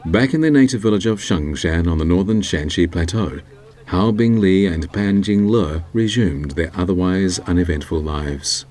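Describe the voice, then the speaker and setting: A man's voice: low-pitched, animated, normal speed, darkish tone. A man reading scripted documentary narration as the narrator.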